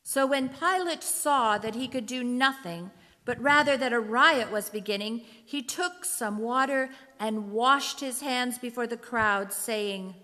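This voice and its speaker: Slow, solemn woman narrator in a hall. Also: fairly high pitch, sharp tone. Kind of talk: scripted scripture narration, reader's theater. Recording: long hall reverb.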